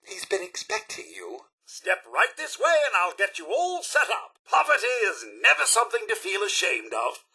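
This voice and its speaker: A man's voice: sits high, huge pitch swings, normal speed, gravelly, hardly any pauses, sharp tone, slightly rough, exaggerated voice. A man voicing a prim, polite robot butler in a video game, with a British accent and a formal, theatrical, dryly sarcastic manner. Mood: courteous, attentive. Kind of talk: video game character voice lines.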